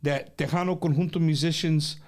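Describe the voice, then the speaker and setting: A man hosting a casual live stream, his voice notably high for a man. He asks halting questions, restarting and repeating words as he goes. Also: steady tone. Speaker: show host.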